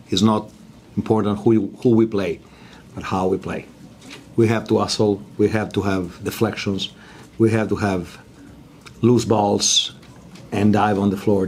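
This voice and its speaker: Man, low pitch, coach at a press table, emphatic, short answers. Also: darkish tone, a little hiss or hum. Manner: blunt, emphatic, broken English phrasing. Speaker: basketball coach at a press conference.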